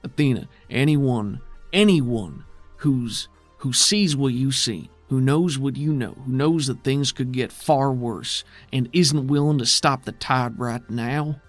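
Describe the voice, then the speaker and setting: A man playing a bitter, worried, steadfast man defending his underground city in a tabletop game, with gruff folksy speech. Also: medium pitch, slow, soft background music, close to an everyday voice. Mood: angry, accusing.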